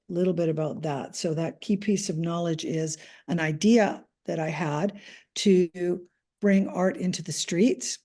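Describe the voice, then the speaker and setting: A woman's voice: lowish voice, mellow. A Canadian woman, a podcast guest, answering interview questions in a chatty, hesitant way with many ums and restarts. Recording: audio podcast remote recording.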